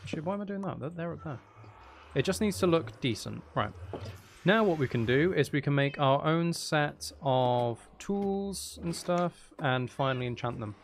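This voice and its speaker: Gravelly man chatting over a game. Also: on the high side, animated, moderate pace, a little hiss or hum. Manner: rambling, self-mocking, sweary, thinking aloud. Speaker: gaming video host. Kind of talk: casual chatty Minecraft game commentary.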